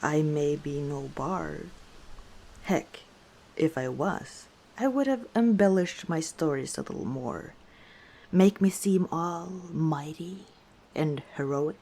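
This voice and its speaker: A woman playing an easygoing, teasing female orc tavern owner in an unhurried ASMR roleplay. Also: low-pitched, expressive, mellow, full character voice. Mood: playful. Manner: unhurried, playful teasing with frequent chuckles. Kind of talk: ASMR roleplay, one side of a conversation.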